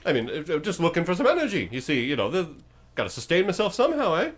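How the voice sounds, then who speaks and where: A man's voice: a little high, huge pitch swings, quick pace. A man voicing a giant undead skeleton oracle in a tabletop game, glib and rambling, haggling casually and hungrily. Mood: chatty.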